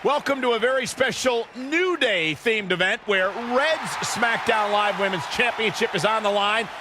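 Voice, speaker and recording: An upbeat play-by-play wrestling commentator, voiced by a man, pitched high. He announces the game's action in a polished, emphatic broadcast style, with arena noise clearly behind him. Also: moderate pace, crowd noise, lightly acted. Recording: noisy arena ambience.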